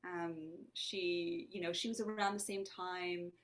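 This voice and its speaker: A woman's voice: on the low side, steady tone, unhurried. A woman born in Lebanon whose first language is Armenian, an actor and playwright talking in an interview in a thoughtful, searching way. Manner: thoughtful, searching, asks herself questions aloud. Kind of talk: conversational interview.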